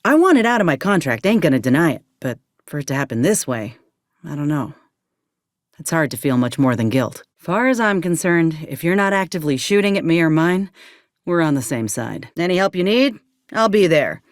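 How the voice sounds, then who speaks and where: A woman's voice: low voice, sing-song, moderate pace, no room sound, studio quality. A woman playing a wary, plain-spoken former combat medic turned mercenary in a video game, with folksy frontier phrasing. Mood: weary, rueful. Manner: folksy, drawling frontier speech with ain't and folk. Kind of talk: video game character dialogue.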